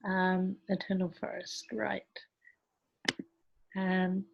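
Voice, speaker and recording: A woman's voice: fairly low pitch, completely flat delivery, very slow, creaky, highs cut off, noisy background. A woman presents informally on an online call. Her delivery is reflective and somewhat hesitant, as if thinking her way through each point. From New Zealand. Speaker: presenter from a community organisation.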